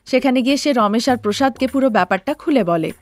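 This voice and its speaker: A woman's voice: fairly high pitch, lively intonation, fast talker, no room sound. A woman narrator telling a cartoon story in a scripted storytelling style.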